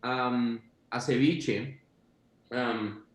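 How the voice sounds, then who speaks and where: A man's voice: medium pitch, steady tone, drawn-out words, roomy sound. A man from Costa Rica talking casually and with enthusiasm, describing food with many fillers. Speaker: chef guest. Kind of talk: casual video-call chat.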